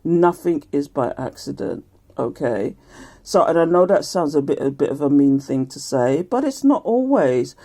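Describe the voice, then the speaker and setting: A middle-aged woman a croaky voice giving a casual daily tarot reading, chatty and laughing often. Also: low-pitched, dark tone. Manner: chatty, laughs often, reads aloud from a card booklet. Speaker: tarot reader.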